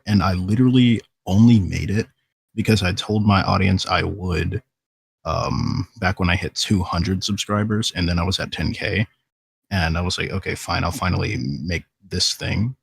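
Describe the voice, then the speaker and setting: A man with a lowish, extremely husky voice full of fry tells a story casually. He is candid and self-deprecating, recorded close and dry. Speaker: voice actor guest.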